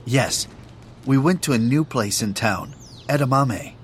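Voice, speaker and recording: A man who announces the tracks and reads the lines of a course dialogue in a slow, careful manner. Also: mid-range voice, slight background noise. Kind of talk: scripted textbook audio reading.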